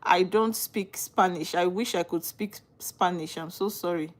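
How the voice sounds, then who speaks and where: A woman's voice: lowish voice, a little slow. Nigerian woman, young adult, earnest livestream host, rhetorical questions, chatty with viewers. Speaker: livestream host on legal topics. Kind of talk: live-stream talk answering viewer comments.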